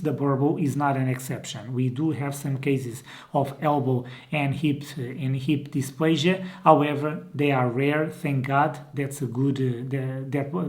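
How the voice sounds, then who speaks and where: A man's voice: mid-range voice, darkish tone. A man in his forties from Portugal with a Portuguese accent, presenting an informal explainer as a breeder, repeating words for emphasis. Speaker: dog breeder and channel host. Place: indoor room.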